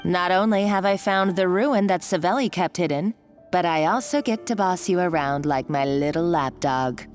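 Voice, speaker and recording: A woman's voice: fairly low pitch, moderate pace, faint music behind, close to an everyday voice. A woman playing a domineering, gloating female villain in a video game, speaking with taunting condescension. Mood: smug. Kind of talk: anime-style video game acting.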